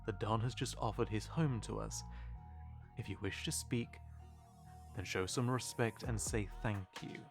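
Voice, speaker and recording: A man playing a polite, diplomatic mafia twin in an audio roleplay, speaking formally and with measured courtesy. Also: lowish voice, gravelly, soft background music. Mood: stern.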